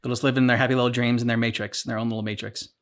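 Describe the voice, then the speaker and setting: A man co-hosting a reaction show, talking casually and reflectively in a halting way, as if thinking aloud. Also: mid-range voice, flat delivery.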